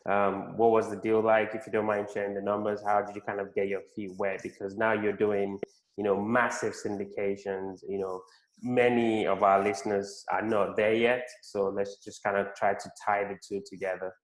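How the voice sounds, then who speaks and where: A man hosting a podcast, asking his guest questions in a steady, even-toned way. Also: fairly low pitch, normal speed, reverberant, poor audio. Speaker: podcast host. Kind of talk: interview hosting.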